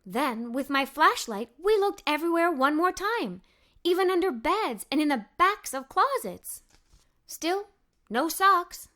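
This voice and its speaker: A woman narrating a children's story as a read-aloud, with animated, expressive delivery. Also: very high-pitched, a little slow.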